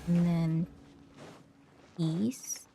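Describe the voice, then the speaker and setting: A woman's voice: on the low side, steady tone, drawn-out words, gravelly, music in the background. A woman streamer giving casual gameplay commentary, murmuring to herself as she plays. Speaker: game streamer.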